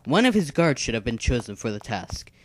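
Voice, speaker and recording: A man playing a dutiful, level-headed assassin in an amateur animated fantasy series, speaking in a measured, formal, firm way. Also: mid-range voice, slight background noise, close to an everyday voice. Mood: doubtful. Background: music.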